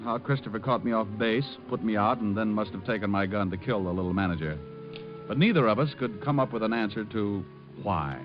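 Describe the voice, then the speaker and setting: A man's voice: on the low side, close to an everyday voice. A man playing a wisecracking, tough private detective in an old-time radio noir drama, with a wry, breezy delivery. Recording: dull, noisy old radio recording.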